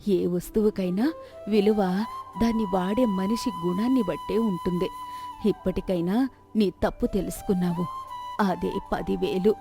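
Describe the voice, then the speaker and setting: A woman playing a stern, wise village elder aunt in a moral tale, measured and moralising. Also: medium pitch, vocal fry, music in the background. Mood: admonishing.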